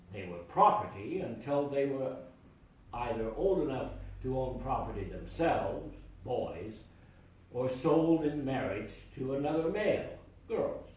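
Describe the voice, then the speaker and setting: A man's voice: medium pitch, darkish tone, distant mic, slight background noise. Man reading a sermon in an echoey church. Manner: measured, deliberate reading with emphatic phrasing. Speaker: lay reader delivering a sermon. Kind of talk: read sermon. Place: church sanctuary.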